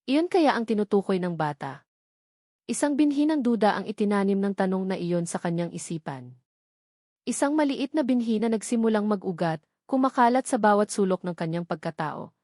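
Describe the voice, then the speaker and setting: A woman's voice: mid-range voice, a little mellow, dry recording. Filipino woman narrating drama, dry close sound. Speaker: story narrator. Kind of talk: scripted dramatic storytelling narration.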